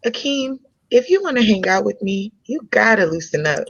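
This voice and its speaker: A woman's voice: medium pitch, sing-song, moderate pace, a little hiss or hum. A woman playing a frank, independent young woman who speaks candidly and conversationally in a comedy table read. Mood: loosening up. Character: frank, independent young American woman, restaurant owner's daughter. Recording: dull lo-fi microphone sound.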